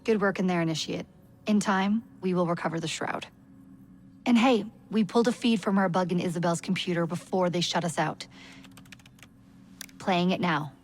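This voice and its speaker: An actress playing a friendly female operator, brisk and encouraging. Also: on the low side, natural voice. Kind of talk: video game cutscene acting.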